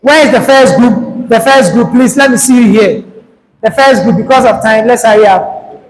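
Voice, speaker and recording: A man with a very high, light voice, giving a public speaking workshop into a handheld mic in a lecture hall. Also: moderate pace. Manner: direct, coaching, urges the group to hurry. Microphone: handheld microphone. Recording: long hall reverb, faint background noise.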